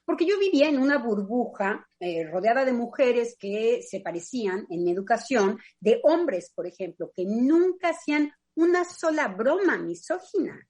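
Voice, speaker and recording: A Mexican woman with a Mexican accent, a psychoanalyst talking on a radio panel in a thoughtful, personal way with frequent pauses to search for words. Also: on the high side, sing-song, a little mellow. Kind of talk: reflective radio discussion.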